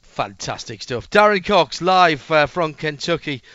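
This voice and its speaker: A man hosting a radio show, interviewing a guest in a brisk, upbeat way. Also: a little high, animated, pushed and bright, muffled recording.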